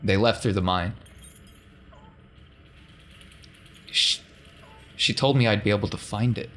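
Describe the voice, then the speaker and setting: A man's voice: fairly low pitch, a little fast, a bit bright, natural voice. A man playing a grave, blunt uncle giving urgent warnings in a tabletop game. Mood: guarded, reflective. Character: grave, urgent uncle who once sheltered fugitives.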